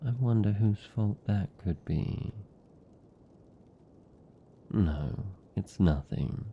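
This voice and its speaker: A man voicing a lonely, tender and possessive vampire count in a British accent, speaking softly and soothingly in an intimate ASMR roleplay. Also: fairly low pitch, expressive, lo-fi sound, slight background noise, pitched above the performer's own voice, exaggerated voice. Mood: soothing, affectionate. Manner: soft, slow, soothing, lingering pauses.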